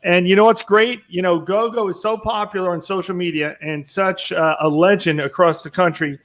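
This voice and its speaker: A man with a notably high voice hosts the interview. He talks in an upbeat, chatty way and fills pauses with fillers. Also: fairly even intonation, a bit bright. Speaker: host, real estate business owner. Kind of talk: interview hosting.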